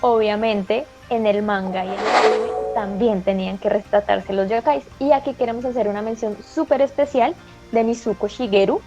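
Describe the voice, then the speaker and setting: A woman's voice: medium pitch, moderate pace, music in the background. A woman from Colombia, co-hosting a talk show, explaining with enthusiastic emphasis. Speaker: co-host. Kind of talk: casual panel chat. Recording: dull lo-fi sound.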